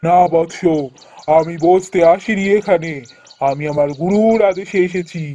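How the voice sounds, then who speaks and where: Solemn high-voiced holy man played by a man. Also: a little slow, warm tone. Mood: commanding. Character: commanding holy man, a wandering sadhu prophesying fortune. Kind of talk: Bengali folk-tale cartoon dialogue.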